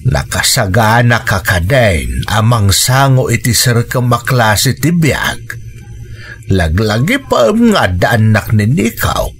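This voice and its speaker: A man playing a gentle, concerned old father in a radio drama, speaking weightily with hesitant pauses. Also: mid-range voice, very animated, heavily acted. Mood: concerned, solemn. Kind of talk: Ilocano radio drama acting.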